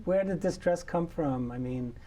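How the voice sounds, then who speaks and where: Male host, mellow tone, easy conversational questions, studio chat. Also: a little high, moderate pace. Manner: warm, conversational questions with hesitations. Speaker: festival director and interview host. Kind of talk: studio interview.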